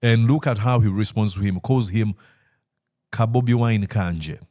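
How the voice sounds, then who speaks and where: A man's voice: fairly low pitch, unhurried, mellow, no room sound, clean studio sound. A man from Uganda hosting a political talk show, speaking in a discursive way with frequent "uh" fillers and easy laughter. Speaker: host.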